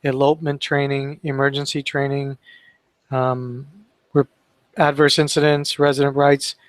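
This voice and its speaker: A man co-hosting a training webinar, chatting and reading out inspection citations with frequent fillers and pauses. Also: mid-range voice, little pitch movement, dry recording. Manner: conversational, reads citations aloud with fillers. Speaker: webinar co-host. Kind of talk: casual training discussion.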